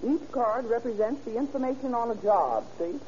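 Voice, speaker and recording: Muffled old radio with faint noise carries an actress as a police records clerk. She explains briskly and practically in an animated, dark-toned voice. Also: fairly high pitch, lightly acted. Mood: assured. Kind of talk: old-time radio acting.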